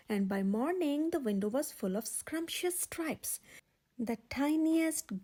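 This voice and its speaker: High, animated woman reading a children's story. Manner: expressive, dramatic emphasis with drawn-out words. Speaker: children's storybook reader and channel host. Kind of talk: animated children's read-aloud narration.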